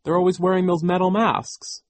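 A man's voice: high-pitched, flat delivery, moderate pace, dry recording, voice pushed up, lightly acted. A man voicing an earnest, polite teenage orphan girl who speaks up insistently in an audiobook story.

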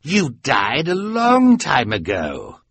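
A man's voice: mid-range voice, huge pitch swings, slow pace, gravelly, a bit bright, slightly rough, no room sound, pitched above the performer's own voice, full character voice. A male narrator voicing a vain, cruel, theatrical villain who sneers and barks orders. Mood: sneering.

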